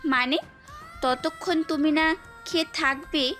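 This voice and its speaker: A woman's voice: sits high, fairly even intonation, slow pace. A woman playing a caring, insistent university teacher in an audio story, with music underneath. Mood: insistent, kind. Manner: concerned, gently bossy. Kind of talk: Bengali audio story acting.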